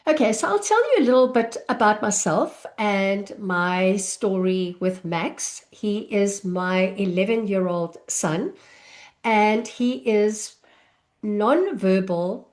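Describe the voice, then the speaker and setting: A woman's voice: mid-range voice, animated. South african lady in her 50s teaching a live online class, takes her time, chatty, video call mic. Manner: warm, unhurried, chatty with small laughs.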